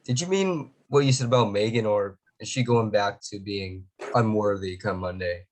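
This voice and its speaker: A man's voice: lowish voice, moderate pace, lightly acted. A man reading the part of a cocky teen boy who teases a girl, in an amateur table read. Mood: teasing. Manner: teasing, laughs often.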